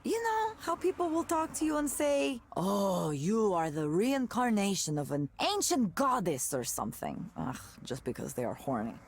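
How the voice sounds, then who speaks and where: An actress playing a weary, candid former tech worker in a visual novel, speaking in a wry, conversational way with halting asides. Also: female voice, mid-range voice, sing-song, breathy edge. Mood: wry disbelief. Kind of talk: naturalistic visual novel voice acting.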